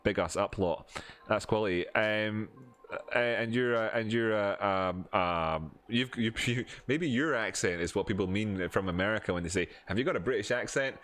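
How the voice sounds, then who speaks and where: A man with a broad Edinburgh Scottish accent and a somewhat low voice talks off the cuff to his stream audience. He rambles, restarts sentences and fills pauses with ums, moving between chatty asides and walking through charts. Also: a bit bright. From Scotland. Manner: rambling, many fillers and restarts, answering chat. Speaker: crypto streamer. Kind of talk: casual live-stream chat and chart teaching.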